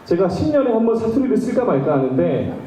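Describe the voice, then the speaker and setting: Male stage singer, lively up-and-down delivery, chatty crowd banter, booming hall echo. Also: fast talker, far from the mic. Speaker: trot singer performing on stage. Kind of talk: stage patter with a live audience. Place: large concert hall stage before about two thousand people. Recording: echoey hall with backing music.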